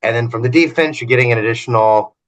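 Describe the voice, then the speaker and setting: A man's voice: mid-range voice, quick pace, rough recording. A man co-hosting a fantasy game podcast, explaining strategy in an analytical, talkative way. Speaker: podcast co-host.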